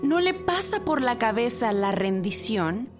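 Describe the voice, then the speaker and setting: A woman narrating a historical radio drama as a scripted storyteller, measured and solemn. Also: fairly high pitch, sing-song, over music.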